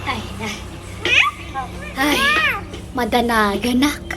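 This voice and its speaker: A woman playing an excitable, scolding mother in a comedic radio drama, exclaiming and fussing. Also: a little high, takes it slowly. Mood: fussing, complaining. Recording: very noisy radio drama track.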